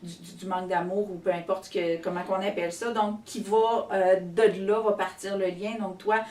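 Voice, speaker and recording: A woman's voice: mid-range voice, fairly even intonation, moderate pace, darkish tone, recorded from a distance. Québécois woman host in echoey room. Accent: Québécois French. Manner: rephrases and sums up the guest's points. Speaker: interview host. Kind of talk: casual interview.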